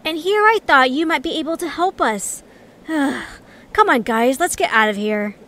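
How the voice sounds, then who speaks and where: A woman's voice: high-pitched, expressive, unhurried, fairly bright tone. A woman playing a feisty, sarcastic small adventurer creature in an amateur audio drama, easily exasperated. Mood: annoyed, startled. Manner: sarcastic, snappy. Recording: noisy home recording.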